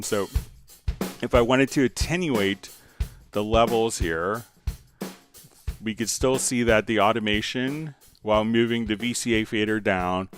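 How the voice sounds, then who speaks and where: A man hosting a live software tutorial, explaining step by step and informally thinking aloud as he demonstrates. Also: lowish voice. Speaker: software instructor and livestream host.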